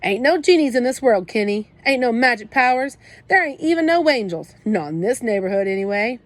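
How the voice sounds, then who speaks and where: Gruff streetwise teenage brother, blunt slang, scolding but protective, voiced by a woman; storybook audiobook. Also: a little high, expressive, fairly quick, no room sound, voice pushed up, close to an everyday voice. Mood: gruff comforting.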